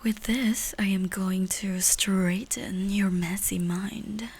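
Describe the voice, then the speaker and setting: A woman voicing a mildly deranged, theatrical unicorn in a breathy whispered ASMR roleplay. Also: medium pitch, fairly even intonation, slow pace, a little mellow. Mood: soothing, eager. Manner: breathy whispering, playfully unhinged.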